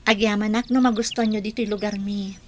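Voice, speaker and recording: A woman's voice: medium pitch, flat delivery, a little fast, darkish tone, slight background noise, close to an everyday voice. An actress playing a polite, hospitable older village woman in a radio drama. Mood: gracious.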